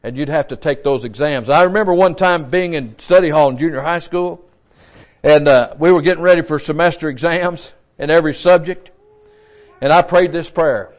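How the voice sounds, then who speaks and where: High-voiced older man preaching a sermon. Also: normal speed. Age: 60s. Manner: repeats words, restarts, folksy asides. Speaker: pastor.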